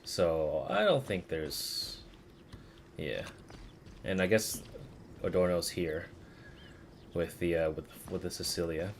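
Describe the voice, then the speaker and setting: A man livestreaming a game, chatting casually in a rambling, halting way as he searches for words. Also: sits low, lively intonation, slight background noise. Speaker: game streamer. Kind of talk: casual livestream commentary, replying to chat.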